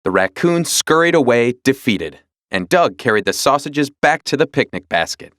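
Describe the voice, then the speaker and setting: Male storybook narrator for young readers: animated, dramatic, mid-pitched, dry studio-style sound. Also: normal speed, dry recording. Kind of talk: scripted children's read-along narration.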